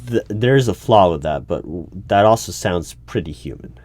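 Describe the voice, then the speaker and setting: A low-pitched hiring manager at a secret bureau, played by a man in an audio roleplay. He is officious and exasperated, arguing in halting stretches and rewording his points as he questions a candidate. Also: animated, darkish tone. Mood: baffled, argumentative. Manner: halting, argumentative, officious, repeats and rephrases. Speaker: solo roleplay performer. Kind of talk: one-sided audio roleplay interview. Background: computer keyboard typing.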